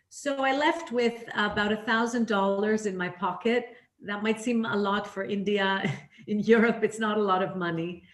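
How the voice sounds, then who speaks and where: A middle-aged woman raised in Canada, telling her career story as an interview guest in a candid, reflective way with frequent fillers and restarts. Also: medium pitch. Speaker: interview guest, leadership trainer. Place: living in Greece. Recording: echoey room.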